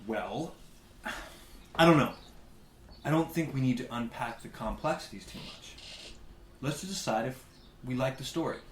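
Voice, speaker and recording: A man's voice: mid-range voice, moderate pace, long pauses, slight background noise, lightly acted. A man acting as a snide, defensive student editor who narrates in a wry, wordy, mock-earnest way for a satirical radio play. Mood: evasive.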